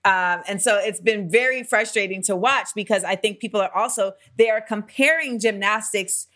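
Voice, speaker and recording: A woman's voice: mid-range voice, normal speed, sharp tone. A woman who was once a gymnast, interviewing in a casual, chatty way, swearing now and then and laughing often.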